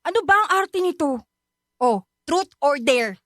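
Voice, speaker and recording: High-voiced, stubborn young Filipina played by an actress. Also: female voice, animated, a little slow, a bit bright, dry recording, studio quality. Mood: teasing, irritated. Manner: casual, bickering. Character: stubborn, guarded young woman secretly in love with a friend. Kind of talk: radio drama dialogue.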